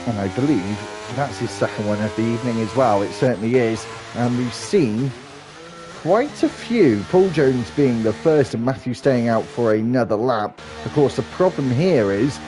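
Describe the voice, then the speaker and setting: A man's voice: mid-range voice, moderate pace, music in the background. Stuffy-nosed male racing commentator. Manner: steady running commentary with small restarts. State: stuffy from hay fever. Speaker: race commentator. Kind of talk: live sim racing commentary.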